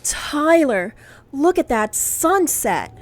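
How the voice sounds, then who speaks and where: Audio drama, enthusiastic scheming vacationer, casual, higher voice, very lively intonation. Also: female voice, slow pace, exaggerated voice. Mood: admiring. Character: enthusiastic female vacationer, greedy scheming partner. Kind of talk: horror audio drama acting.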